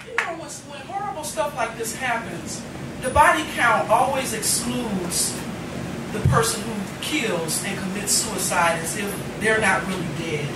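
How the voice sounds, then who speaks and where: Slow, echoey woman reading poetry. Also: fairly high pitch, distant mic. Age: middle-aged. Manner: deliberate, dramatic phrasing with pauses. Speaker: poet, featured reader. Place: indoor cafe reading. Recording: noisy, echoey room recorded from afar.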